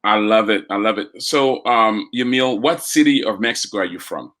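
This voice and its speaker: Upbeat podcast host, bright low voice. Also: male voice, moderate pace. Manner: upbeat, enthusiastic questioning. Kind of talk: live podcast interview.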